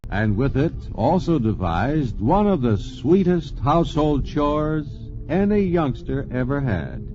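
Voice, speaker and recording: A man giving measured, announcer-style scripted narration for an old radio documentary. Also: medium pitch, warm tone. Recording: dull old radio broadcast with music.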